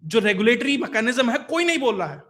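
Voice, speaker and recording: A man's voice: extremely high pitch, big pitch swings, fast, a bit bright. A man giving informal political commentary, explaining things emphatically to viewers with colloquial asides. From India. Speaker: YouTube news commentator.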